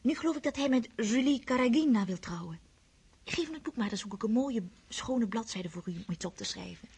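A woman's voice: a little high, animated, fairly quick, a little mellow, breathy edge, heavily acted. An actress playing an eager, romantic young countess who talks in a girlish way and often drops to a whisper. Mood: chatty.

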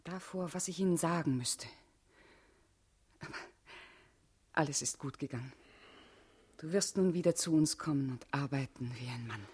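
An actress playing a guarded, urgent female party comrade in a German radio play, speaking gravely and deliberately with a husky, intimate voice. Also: sits low, darkish tone. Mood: firm, relieved.

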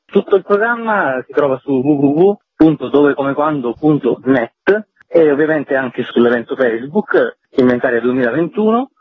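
A man's voice: medium pitch, animated, moderate pace, husky. A man giving explanatory answers as an interview guest, a festival's artistic director. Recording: tinny phone-like line, roomy echo.

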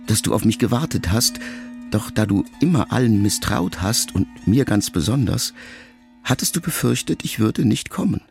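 A man in a radio production reading a letter aloud as literary narration, quiet and reflective, with phrase endings that trail off softly. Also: on the low side, lively intonation, moderate pace, gravelly, breathy edge, faint music behind.

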